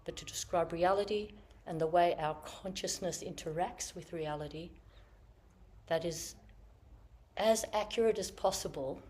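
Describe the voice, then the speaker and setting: A woman Zen teacher giving a dharma talk in slow, reflective, searching phrases, her voice a little croaky after being ill. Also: fairly low pitch, a little mellow. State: slightly croaky from illness. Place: meditation hall with online audience.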